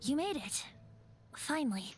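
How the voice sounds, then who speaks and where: A boyish, carefree wandering bard from a fantasy video game. The voice is fairly high, with a playful and theatrical storytelling manner and faint music underneath. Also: female voice, big pitch swings, unhurried. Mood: breezy. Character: boyish, carefree wandering bard, secretly an ancient wind god. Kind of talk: video game character acting.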